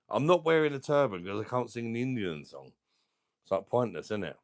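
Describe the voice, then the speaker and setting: A middle-aged man with a deep voice and a sore throat, chatting casually as he hosts a beer channel livestream and reads out viewer comments in a rambling, laid-back way. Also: very animated, normal speed. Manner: rambling, laid-back, chuckling between remarks. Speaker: beer review channel host.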